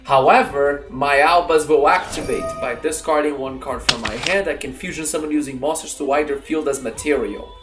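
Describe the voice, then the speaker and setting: A man's voice: fairly high pitch, normal speed, few pauses, natural voice. Echoing, confident anime-style duelist man acting. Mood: confident, calculating. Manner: declamatory, announces each move formally. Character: confident male card duelist, chanting dramatic summons. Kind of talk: fan roleplay anime-style duel acting. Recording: roomy echo under music.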